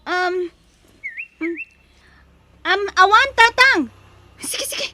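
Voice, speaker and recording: A woman's voice: very high-pitched, lively intonation, extremely slow, bright, forceful tone, a little hiss or hum, heavily acted. A woman acting a distressed, reluctant young daughter and bride in an Ilocano audio drama, pleading and protesting. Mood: agitated.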